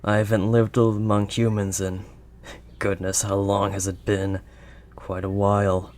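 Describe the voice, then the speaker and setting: A man voicing a gruff but kindly werewolf of the wild woods in an intimate audio roleplay, with a halting, gently protective manner. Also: on the low side, little pitch movement, natural voice. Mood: gentle, awkward. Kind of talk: audio roleplay, intimate second-person acting.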